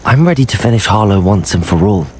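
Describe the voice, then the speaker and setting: Sincere, lively young wizard played by an actor. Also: male voice, lowish voice, fast, noisy background, lightly acted. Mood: resolved. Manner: polite, sincere, reassuring. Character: supportive, loyal teenage boy wizard hero. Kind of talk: video game dialogue acting. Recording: music under the voice.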